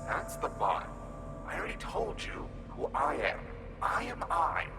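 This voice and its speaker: A man's voice: on the high side, wildly swooping pitch, measured pace, music in the background. A man voicing a mocking, glitchy, grinning entity in the mind of the hero, with a taunting, condescending manner. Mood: scornful. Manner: taunting, condescending, sing-song. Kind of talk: audio drama acting.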